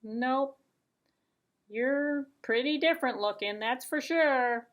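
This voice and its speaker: A woman's voice: high-pitched, slow, pitched above the performer's own voice. A woman voicing blunt, dismissive pond animals, a turtle and a goose, in a storybook puppet show.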